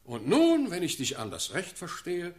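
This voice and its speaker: An actor playing an imperious, quick-tempered German ruler in a classical verse radio play, with declamatory, theatrical speech. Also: male voice, sits high, wildly swooping pitch. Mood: sceptical. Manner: declamatory, theatrical, sharp swings in force. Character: imperious, quick-tempered German prince-elector, commanding and sardonic.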